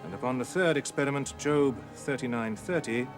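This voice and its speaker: A man voicing a solemn old-time parson reading his private notes, grave, formal and deliberate, in an audiobook ghost story. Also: mid-range voice, music in the background, close to an everyday voice. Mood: grave, uneasy.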